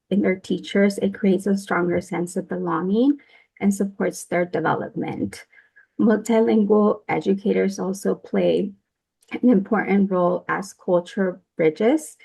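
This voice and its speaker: A woman speaks earnestly for her organization. Her voice is gravelly and husky, with a mellow, relaxed tone. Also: mid-range voice, measured pace, poor audio. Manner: earnest, advocating. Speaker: employer panelist.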